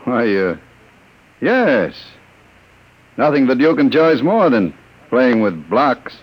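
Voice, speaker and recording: An actor playing a suave, menacing gangster with a sardonic, commanding manner in an old radio drama. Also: male voice, mid-range voice, big pitch swings, takes it slowly, husky, heavily acted. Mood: sly, coaxing. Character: smooth-talking, menacing male gangster on the run. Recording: dull, muffled vintage radio recording.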